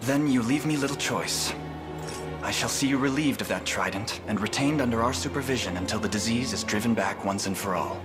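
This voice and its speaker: A noble young male army leader voiced by an actor from a fantasy game. He speaks formally and earnestly, with steady resolve. Prominent music runs behind the dialogue. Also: fairly low pitch, a little fast, natural voice. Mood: grave, firm. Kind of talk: dramatic fantasy game voice acting. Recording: loud orchestral music behind.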